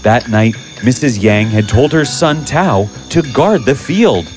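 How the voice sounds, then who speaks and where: Slow, expressive male storyteller. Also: mid-range voice, faint music behind. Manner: expressive, measured. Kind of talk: scripted fairy-tale narration.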